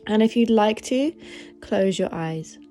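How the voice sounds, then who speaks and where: Female meditation host: relaxed, dark-soft timbre, very unhurried, soothing guidance over faint music. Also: mid-range voice. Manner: gentle, soothing, unhurried instruction. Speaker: meditation guide, podcast host. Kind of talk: guided meditation.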